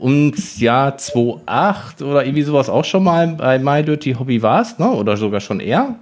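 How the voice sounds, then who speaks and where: A man's voice: medium pitch, sing-song, normal speed, a little mellow. A man hosting a podcast, chatting casually and putting questions to a guest. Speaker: podcast host. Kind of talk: casual interview chat.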